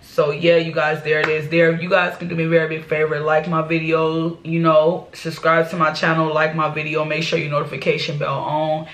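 A woman's voice: sits low, monotone, a little fast, hardly any pauses, fairly bright tone. A young woman with a stuffed-up nose, chatting casually with her viewers as a reaction channel host, from a small bedroom. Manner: chatty, repeats "y'all" and "you know". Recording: echoey small room.

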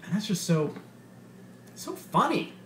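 A man's voice: high-pitched, sing-song, a little slow, a bit bright, pitched above the performer's own voice, exaggerated voice. A man voicing a bitter, sardonic robot stand-up comedian with a profane, punchy, menacing delivery. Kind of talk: stand-up comedy routine in a short story reading. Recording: roomy bar with faint background noise.

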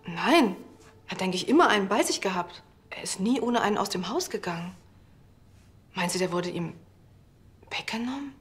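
An actress playing a bewildered, subdued widow, hushed and hesitant, in a TV crime drama. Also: female voice, medium pitch, lively intonation, moderate pace, pauses often, faint music behind, natural voice. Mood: shocked, subdued.

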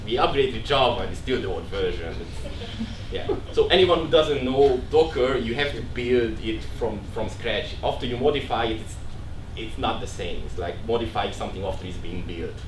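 A man's voice: lowish voice, moderate pace. A man with a Hungarian accent speaks at a tech meetup in a large office room. His delivery is casual and self-deprecating, full of restarts. He sounds distant from the mic, with room echo and faint background noise. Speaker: conference speaker.

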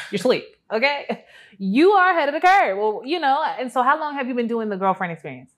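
Animated American woman host. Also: high-pitched, brisk. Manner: animated, teasing, laughs often. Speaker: interview show host. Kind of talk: casual interview. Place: studio set with lights.

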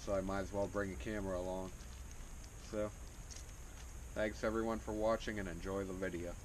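Low-voiced young man talking outdoors. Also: moderate pace. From Pennsylvania. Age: young adult. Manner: relaxed, rambling, with fillers and restarts. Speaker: fishing channel host. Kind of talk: casual talk to camera. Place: outdoors by a wooded pond. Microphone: camera on a tripod a few steps away. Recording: distant outdoor camera audio with wind and background noise.

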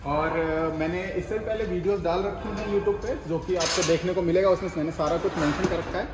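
A man's voice: sits high, a little fast, music in the background. A young man explaining oven panels in a casual demo, repeating himself and restarting phrases often. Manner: explains step by step with many repetitions and restarts. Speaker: bakery equipment seller. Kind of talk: casual product demonstration. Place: workshop with ovens, indoors. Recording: echoey room, faint noise.

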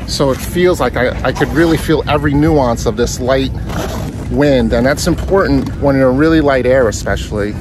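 A man in his forties, recorded outdoors on a small sailboat with a phone at arm's length, talking through how to sail the boat. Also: mid-range voice. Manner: friendly, explanatory, talks while sailing. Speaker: sailing instructor. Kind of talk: casual instructional vlog. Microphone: phone camera held at arm's length.

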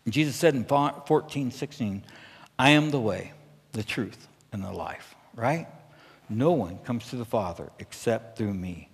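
A middle-aged American man delivers a conversational sermon from a church stage. His voice sits at an average pitch, and he speaks earnestly and with emphasis. Long reverb from the hall trails his words. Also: expressive, measured pace, slightly rough. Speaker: pastor. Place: church stage at a pulpit, indoors.

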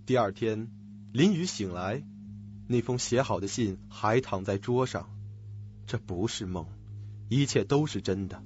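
A man's voice: lowish voice, lively intonation, measured pace, a little mellow. A man narrating a suspense radio drama from a script. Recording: dull lo-fi recording. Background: music.

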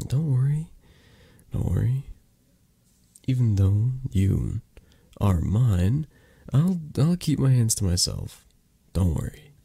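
Man acting a dark, husky mafia boss lover. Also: very animated, no echo, heavily acted. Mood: tender, flirtatious. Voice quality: breathy, husky, low.

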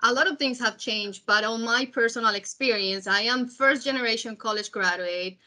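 A woman's voice: on the high side, normal speed, sharp tone. A woman from Colombia with a Colombian Spanish accent, a native Spanish speaker, speaking earnestly on a panel. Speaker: panelist, quality executive. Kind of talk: panel discussion on a call.

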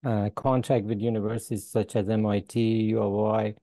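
An older Iranian-accented man speaks in a very dark, mellow voice, with dry, close sound. He pauses often with "uh" and chuckles between points, talking over a video call from a small room at home. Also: lowish voice, fairly even intonation, no room sound. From Iran. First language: Farsi. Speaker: guest professor. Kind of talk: interview answers. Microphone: video call with earbuds.

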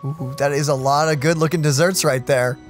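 A man's voice: a little high, fairly even intonation, brisk, soft background music. A man giving casual gameplay commentary as a let's play co-host.

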